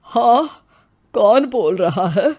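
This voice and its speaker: A woman's voice: fairly high pitch, lively intonation, very slow, dark tone, heavily acted. A woman voicing a sorrowful, weary elderly village father in a dramatised story, speaking plaintively. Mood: puzzled. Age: older. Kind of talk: dramatised moral story dialogue.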